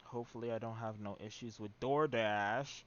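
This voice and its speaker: A man's voice: lowish voice, steady tone, normal speed, a bit bright, lo-fi sound. A man casually commentating on his live game stream, slangy and muttering to himself. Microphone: streamer's home setup with headphones.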